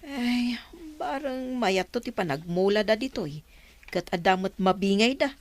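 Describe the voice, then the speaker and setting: An actress playing a sympathetic, supportive older village wife in a radio drama. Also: female voice, medium pitch, animated, unhurried, close to an everyday voice.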